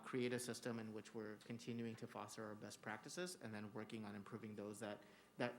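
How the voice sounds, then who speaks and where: Fast, flat-toned man presenting to a board. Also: lowish voice, slight background noise. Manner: steady, earnest, with many "uh" fillers. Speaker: school district administrator. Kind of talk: formal presentation to a school board. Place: public school board meeting room.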